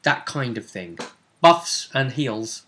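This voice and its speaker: A man's voice: mid-range voice, big pitch swings, slow pace, pushed and bright. A man chatting casually as game master, recapping a tabletop role-playing session and acting out bits of dialogue.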